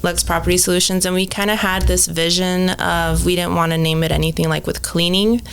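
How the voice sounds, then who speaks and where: A woman's voice: fairly low pitch, little pitch movement, fairly quick, creaky, clean tone. A woman in her thirties, from Mexico and raised in Phoenix, chatting casually as a podcast guest with lots of ums and likes. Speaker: podcast guest, cleaning business owner. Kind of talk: casual interview chat.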